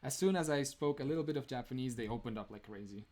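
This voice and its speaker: A young man from Romania hosts a casual live stream, answering viewers' comments as they come in. He chats loosely, scatters "like" through his sentences and breaks into laughter often. His voice sits at an ordinary middle pitch for a man. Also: quick pace, a little mellow. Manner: chatty, laughs often, many "like" fillers.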